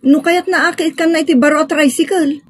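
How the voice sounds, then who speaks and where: A woman's voice: sits high, fairly even intonation, a little fast, no room sound, close to an everyday voice. A woman with a Filipino accent playing an outspoken, exasperated grown daughter in an audio drama, with an emphatic, scolding manner. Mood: disapproving.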